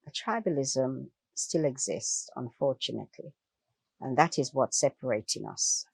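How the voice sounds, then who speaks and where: A woman's voice: very deep voice, normal speed, creaky, slightly rough, dry recording. A woman speaking as an interview guest, emphatic and impassioned, repeating phrases for weight. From Jamaica. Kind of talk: impassioned discussion.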